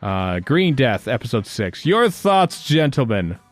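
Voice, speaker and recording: Animated man hosting a podcast. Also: mid-range voice, measured pace. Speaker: podcast host. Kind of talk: casual panel chat about a TV series.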